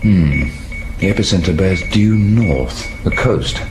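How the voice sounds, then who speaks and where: A man's voice: deep voice, animated, takes it slowly, noisy background. A man acting a wry, stubborn scientist in an audio drama, with a dry, teasing manner. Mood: pondering. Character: wry divorced male Antarctic scientist, flippant yet stubborn.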